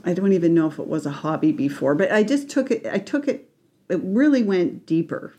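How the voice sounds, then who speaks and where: A woman's voice: fairly low pitch, fairly quick, mellow. A middle-aged woman from Canada, a guest artist in a casual interview, talking hesitantly with many restarts and fillers. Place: radio studio.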